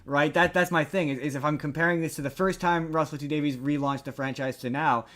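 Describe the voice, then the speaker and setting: Higher-pitched man, opinionated and sweary. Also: steady tone, brisk, a bit bright. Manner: sweary, opinionated, mimics others mockingly. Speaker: podcast co-host. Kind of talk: casual pop-culture review chat.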